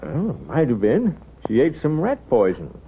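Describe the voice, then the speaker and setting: An American actor playing a wise, wry, middle-aged small-town doctor in 1930s radio drama style, with folksy, hesitant mumbling. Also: male voice, medium pitch, huge pitch swings, unhurried, slight background noise. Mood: gently wry. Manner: folksy, hesitant, absent-minded mumbling asides. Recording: tinny, narrow-band 1930s radio recording.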